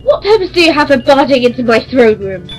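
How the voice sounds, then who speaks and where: A woman's voice: high voice, sing-song, brisk. A woman playing an anxious, regal young ruler of a twilight realm in a fantasy radio play, speaking in a formal, musing, theatrical way. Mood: indignant. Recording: dull lo-fi home recording. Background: music.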